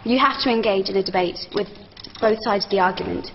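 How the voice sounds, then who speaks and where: A woman's voice: medium pitch, fast talker. A British woman in a studio audience, speaking up passionately and directly in a televised debate. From Britain. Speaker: audience member. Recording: dull TV audio, echoing studio, faint noise.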